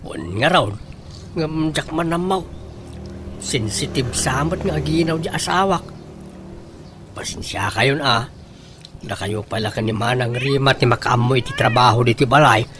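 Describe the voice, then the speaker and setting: A man's voice: medium pitch, measured pace, pauses often, background noise, lightly acted. An actor playing an apologetic, pleading husband and father in an Ilocano comedy radio drama.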